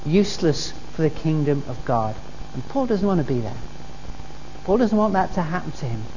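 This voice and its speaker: A woman's voice: low voice, very animated, normal speed, warm tone. Woman preaching in an echoing hall. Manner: earnest, repeats key phrases for emphasis. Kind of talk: sermon. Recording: dull recording in a reverberant hall with faint noise.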